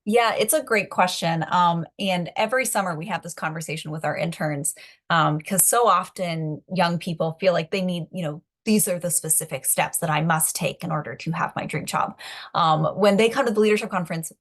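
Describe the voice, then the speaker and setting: Young woman on a webinar panel, kinda low voice, chatty with lots of ums. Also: moderate pace. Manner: chatty, many fillers and likes. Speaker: advocacy organizer, webinar panelist. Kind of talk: casual panel answer.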